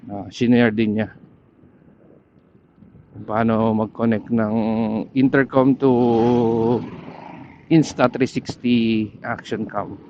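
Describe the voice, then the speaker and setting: A man with a Filipino accent vlogging casually while riding a motorcycle, pausing often to search for words, speaking into a helmet intercom mic. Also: mid-range voice, little pitch movement, highs cut off, slight background noise. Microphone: helmet intercom headset.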